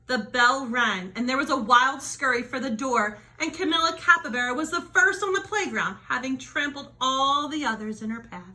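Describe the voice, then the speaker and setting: High, bright-voiced woman reading a children's story. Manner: dramatic emphasis, drawn-out key words. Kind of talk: animated children's storybook read-aloud.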